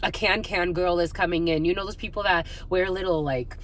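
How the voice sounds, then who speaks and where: A woman's voice: fairly low pitch, fairly even intonation, a little fast, fairly bright tone. A woman hosting an intuitive spiritual reading, talking casually and off the cuff, rambling and repeating her phrases with "like" thrown in often.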